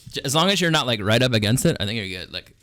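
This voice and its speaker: A man's voice: medium pitch, expressive, racing, crowd noise. A young man co-hosting a podcast, easygoing and steering the chat, laughing often. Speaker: podcast co-host.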